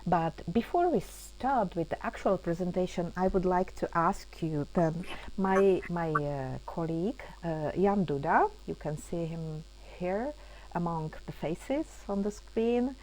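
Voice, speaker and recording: Halting woman hosting a webinar. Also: fairly low pitch, animated, a little mellow, rough recording. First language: Czech. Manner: halting, many fillers and restarts, non-native phrasing. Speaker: webinar host and project coordinator. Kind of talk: hesitant opening of an online webinar.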